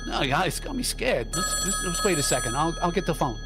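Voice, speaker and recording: A man playing a jumpy, squeamish working-class morgue worker in an old-time radio drama, halting and repeating himself. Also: fairly high pitch, sing-song, music in the background. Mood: jittery. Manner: halting, repetitive, colloquial.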